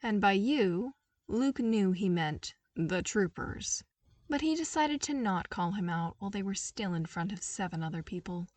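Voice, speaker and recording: A woman's voice: mid-range voice, lively intonation, moderate pace, a little mellow, dry recording. Woman narrator for prose fiction: mid-range voice, scripted storytelling, very dry recording with some mic distance. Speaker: podfic narrator.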